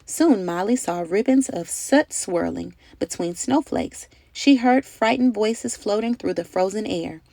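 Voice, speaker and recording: A woman narrates a children's storybook with expressive, emphatic phrasing. Her voice sits at a medium pitch with some gravelly fry, recorded close and dry. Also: a little slow, few pauses, no echo, poor audio. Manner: dramatic, carefully phrased storytelling with emphatic delivery. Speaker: storybook reader.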